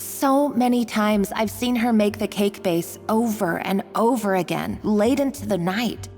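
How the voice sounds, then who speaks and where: A woman's voice: mid-range voice, a little slow, a little mellow, close to an everyday voice. A woman playing a timid, apologetic young kitchen worker in a game, hesitant and prone to stammering. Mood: hesitant, thoughtful. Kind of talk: English game voice acting. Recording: long reverb over background music.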